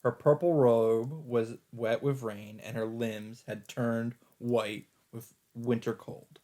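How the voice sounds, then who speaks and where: A man reading a visual novel aloud as a let's play host, in dramatic, expressive narration with deliberate phrasing and pauses. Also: mid-range voice. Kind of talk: dramatic narration read aloud from on-screen text. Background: background music.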